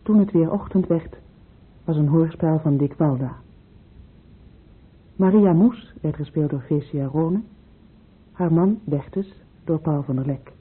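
A woman's voice: low voice, breathy edge. A woman announcer reading scripted radio drama credits. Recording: muffled phone-like old radio recording.

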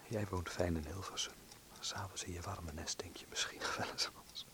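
An actor in a Dutch radio drama playing a troubled, inwardly anguished man in wartime, speaking tersely and quietly. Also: sits low, steady tone, moderate pace, whispered, lightly acted. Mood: brooding, hushed.

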